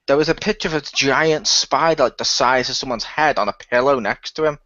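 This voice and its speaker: A man in a casual group chat, telling stories in an animated way, acting out quotes and swearing freely, on a slightly lo-fi recording. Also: medium pitch, a little fast. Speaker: radio play cast member on a wrap-up discussion.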